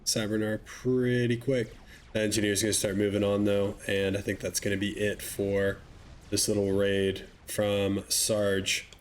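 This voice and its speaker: A man with a stuffy, slightly nasal voice casting a strategy game match with relaxed, chatty commentary and wry asides. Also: on the low side, unhurried, a little hiss or hum. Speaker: game caster.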